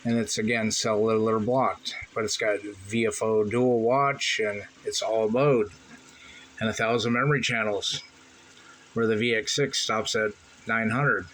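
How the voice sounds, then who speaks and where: A man's voice: fairly low pitch, fairly even intonation, measured pace, fairly bright tone, rough recording. A man hosting a hobby radio channel, giving an informal, plain-spoken product demonstration. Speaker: ham radio channel host demonstrating receivers. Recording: faint background noise.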